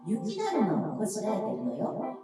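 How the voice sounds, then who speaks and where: An actress playing a gossipy woman in a staged radio drama, chattering in an animated, exclaiming way. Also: mid-range voice, normal speed, music in the background. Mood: amused, gossipy. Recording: very echoey stage hall, distant.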